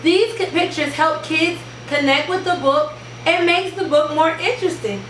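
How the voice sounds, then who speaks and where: A woman hosting an instructional video for parents and teachers, speaking in an animated, emphatic, teacherly way. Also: very high voice, moderate pace. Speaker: host of an education channel for parents and teachers. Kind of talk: upbeat instructional video to camera. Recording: roomy, distant mic, faint background noise.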